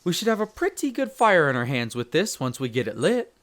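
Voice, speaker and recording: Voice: mid-range voice, brisk. A performer playing a young male elf explorer, a chatty, friendly guide speaking to the listener in an audio roleplay. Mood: cheerful. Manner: expressive, chatty, stammers when flustered.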